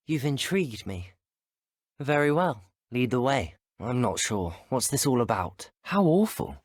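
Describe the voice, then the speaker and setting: An actor playing a polite, earnest teenage student wizard in a video game, with a British accent and courteous, articulate speech. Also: male voice, medium pitch, no echo, studio quality. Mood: inquisitive, courteous. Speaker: video game player character. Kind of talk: scripted game dialogue.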